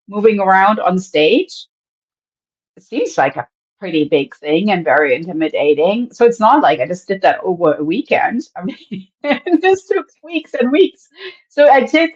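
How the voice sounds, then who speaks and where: A woman from Germany with a German accent, speaking as an animated podcast guest who laughs often and lets her pitch swing widely. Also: normal speed, slightly rough, lo-fi sound. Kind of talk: casual interview talk.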